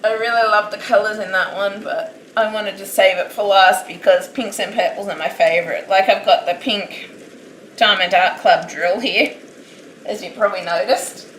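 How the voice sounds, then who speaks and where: A woman chatting casually while live-streaming a craft hobby from home, rambling and self-deprecating, with a naturally loud voice. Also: mid-range voice, moderate pace. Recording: roomy, distant mic, steady background noise.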